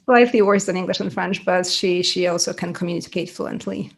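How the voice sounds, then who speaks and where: A woman's voice: lowish voice, a little fast, vocal fry. A woman with a Polish accent, a native Polish speaker, answering interview questions as an author in a thoughtful, self-correcting way with light laughs. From Poland. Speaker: author guest. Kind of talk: podcast interview.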